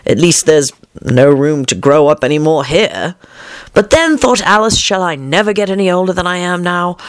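A woman's voice: lowish voice, normal speed, no room sound, natural voice. A woman voicing a curious young girl musing to herself in a storybook reading.

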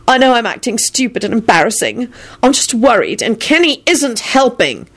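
A woman's voice: medium pitch, big pitch swings, brisk, breathy edge. A woman voicing a bossy, hot-tempered and anxious young woman in an audiobook, urgent and emphatic. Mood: sheepish, worried. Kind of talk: audiobook character voice.

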